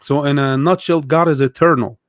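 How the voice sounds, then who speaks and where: A man's voice: fairly high pitch, fairly even intonation, brisk, no room sound, clean studio sound. A young adult man from Egypt, a guest telling his story in casual conversation, thoughtful and hesitant with many fillers.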